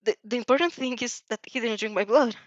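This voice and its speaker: A woman's voice: a little high, fast talker, no room sound, lo-fi sound, voice pushed up, lightly acted. A woman voicing a caring, determined young heroine student in an earnest, emotional audio story. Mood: weak, dazed.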